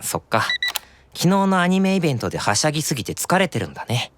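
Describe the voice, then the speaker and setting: A sleepy young demon brother from an anime audio drama, teasing and coaxing. His pitch swings extremely widely, and music plays clearly behind him. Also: big pitch swings, fast. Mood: gentle, sleepy.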